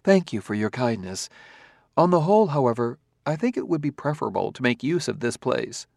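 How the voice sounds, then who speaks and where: A man voicing a calm, courteous humanlike robot detective in an audiobook, formal and measured. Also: mid-range voice, creaky, no echo, studio quality. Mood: calm courtesy. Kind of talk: audiobook character voice.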